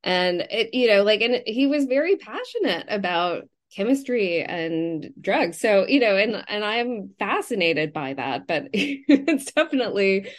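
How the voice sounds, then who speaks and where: A woman talking casually as a novelist being interviewed, haltingly thinking aloud and often restarting her sentences. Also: mid-range voice, big pitch swings.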